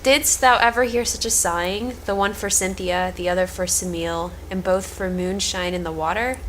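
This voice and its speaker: A woman's voice: lowish voice, fairly even intonation, moderate pace, fairly bright tone, slight background noise, close to an everyday voice, poor audio. A young woman reading the part of a cheeky, teasing page boy in an Elizabethan stage comedy. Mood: mocking. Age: young adult.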